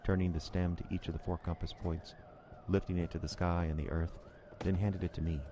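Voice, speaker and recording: An extremely low male voice from an actor narrates a radio horror story. He is measured and brooding, an uneasy visitor describing what he sees. Clear ambient noise sits behind him. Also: little pitch movement, close to an everyday voice. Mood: quietly uneasy.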